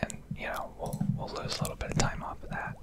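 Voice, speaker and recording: A woman doing ASMR commentary over gameplay, rambling and explaining in a whisper at a close microphone. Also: quick pace. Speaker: gaming video creator.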